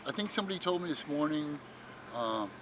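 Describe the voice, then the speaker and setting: A man's voice: on the high side, animated, fairly quick, poor audio. An older man chatting casually in an outdoor interview, rambling and reminiscing. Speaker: longtime radio swap meet vendor. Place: outdoors at a radio swap meet. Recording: loud outdoor ambience, vehicle noise.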